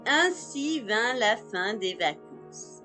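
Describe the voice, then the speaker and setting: Female children's narrator, expressive scripted read, lively swinging intonation, unhurried, music bed behind. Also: a little high. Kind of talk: scripted storytelling for young children.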